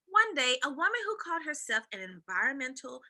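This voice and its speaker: A woman narrates a children's storybook with lots of expression. Her voice is high and very bright, swinging animatedly up and down as she reads. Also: big pitch swings, fairly quick. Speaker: read-aloud reader.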